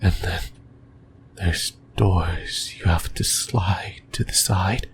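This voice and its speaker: A man playing an obsessive, lonely sleep paralysis demon in a breathy, intimate whisper for an ASMR horror roleplay, hesitant and rambling. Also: deep voice, takes it slowly. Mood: anxious rambling.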